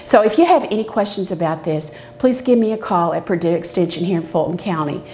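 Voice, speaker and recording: A woman's voice: fairly low pitch, lively intonation, a little fast, dark tone. Folksy woman, noisy background. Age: middle-aged. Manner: folksy, chatty step-by-step explaining. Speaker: cooking show host, extension educator. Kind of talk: casual craft and cooking demonstration. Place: medium-sized indoor demonstration kitchen. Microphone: lapel mic. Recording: clear background noise with clicks.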